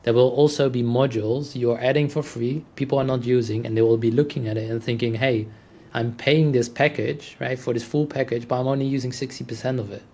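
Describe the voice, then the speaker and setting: A man's voice: mid-range voice, fairly even intonation, a little fast, mellow. A man hosting a podcast interview in a casual conversational style, explaining points and checking for agreement.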